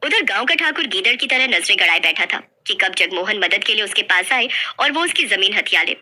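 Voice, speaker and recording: A woman's voice: mid-range voice, animated, a little fast, pushed and bright. A woman narrating a scripted folk story as a storyteller.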